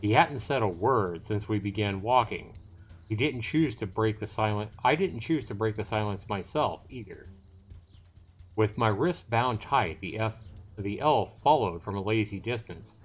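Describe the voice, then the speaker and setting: A man's voice: lowish voice, expressive, normal speed. A man hosting a livestream, chatting informally about a game and reading its text aloud. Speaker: livestream host. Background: game music.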